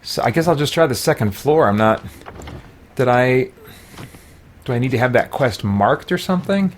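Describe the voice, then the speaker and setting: A man with a medium-pitched voice gives casual gaming commentary. He thinks aloud in a loose, rambling way, as if talking half to himself and half to viewers. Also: animated, normal speed, slight background noise. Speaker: gamer playing and commenting on a role-playing game. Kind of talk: casual let's play commentary.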